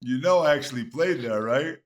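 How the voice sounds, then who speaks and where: A man's voice: medium pitch, a little fast. A middle-aged man with a mid-range voice hosts a casual interview. He talks in a loose, chatty way and laughs easily. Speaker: interview host, former player.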